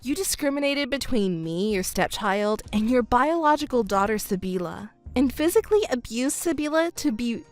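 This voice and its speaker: A woman voicing a composed adult stepdaughter in a scripted family drama, speaking in a measured, firm and admonishing way. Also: on the high side, expressive, dry recording, soft background music, natural voice. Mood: cold accusation.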